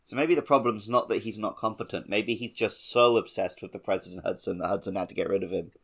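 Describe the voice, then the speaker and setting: A man's voice: on the low side, quick pace, fairly bright tone, breathy edge. A man co-hosting a casual gaming conversation, adding wry, understated comments. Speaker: podcast host. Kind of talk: casual video game discussion.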